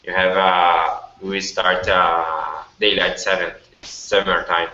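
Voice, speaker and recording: A Brazilian man with a Portuguese accent, a student speaking English haltingly on a video call while he searches for words. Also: sits low, flat delivery, sharp tone. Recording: echoey, dull call audio with faint noise.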